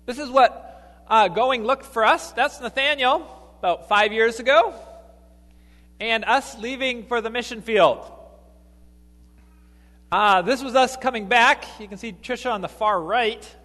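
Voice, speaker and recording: A man, a lay missionary, preaching a sermon in a church, halting with fillers and restarts. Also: very high voice, sharp tone, in a large hall. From grew up partly in Ecuador, then US.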